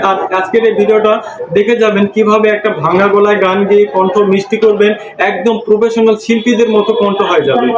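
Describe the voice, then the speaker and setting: A man's voice: sits high, roomy sound, lo-fi sound, background noise. A man hosting an editing tutorial, narrating in a repetitive, promotional manner. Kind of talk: scripted tutorial narration with sung demonstration.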